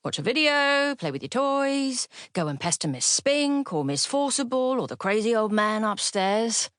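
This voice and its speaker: Busy, dismissive mother, audiobook drama. Offhand delivery, high and animated, dry studio sound. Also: measured pace, dry recording. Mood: dismissive, distracted.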